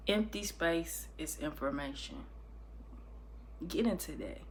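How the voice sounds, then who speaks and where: Woman in her thirties, casual vlogger, slightly low voice, talkative and animated, clear clicking noises behind her. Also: slow pace, a little hiss or hum. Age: 30s. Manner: talkative, animated, repeats herself with restarts. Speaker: vlogger reacting to clips. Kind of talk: casual chatty reaction vlog.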